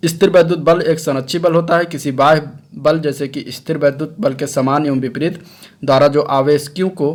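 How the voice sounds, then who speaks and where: Higher-pitched man teaching a science lesson. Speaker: science teacher for exam preparation. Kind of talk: explanatory lecture read from notes.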